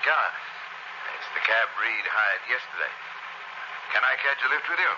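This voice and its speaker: An actor playing a shrewd, brazen ship's purser turned blackmailer in a 1960s radio suspense play, speaking in terse, insinuating phrases. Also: male voice, mid-range voice, expressive, moderate pace, close to an everyday voice. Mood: casual, probing. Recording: muffled, noisy old radio recording.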